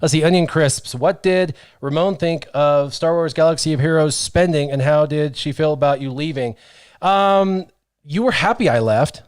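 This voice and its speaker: A man in his thirties hosting a relaxed studio stream, telling stories with lots of animation and reading out viewer comments. His voice sits a bit high for a man. The sound is close and dry, with no room around it. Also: moderate pace, smooth, no echo. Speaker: host. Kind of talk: casual livestream chat. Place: small studio with brick wall. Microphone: studio mic on stand, headphones.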